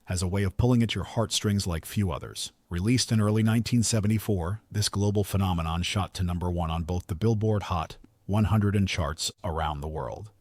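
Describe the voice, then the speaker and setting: A man's voice: sits low, fairly quick, a little mellow, no room sound. A man narrating a scripted countdown in a polished, earnest delivery, as the narrator.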